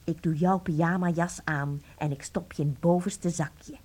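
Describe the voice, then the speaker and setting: An actress playing an anxious, devoted wife in a radio play, tender and theatrical, with a breathy delivery. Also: female voice, on the low side, fairly even intonation, moderate pace. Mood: tender, hushed. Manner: theatrical, breathy, swinging from hushed to agitated.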